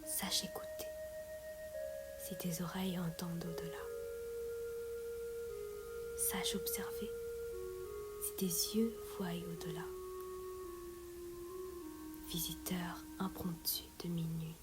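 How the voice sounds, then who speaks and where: A woman reciting a meditative poem in a soft, breathy, often whispery voice, measured, with long pauses between phrases. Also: on the low side, flat delivery. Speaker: poet reciting own poem. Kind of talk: slow meditative poetry recitation. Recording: music and singing bowls behind voice. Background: meditative music with singing bowls.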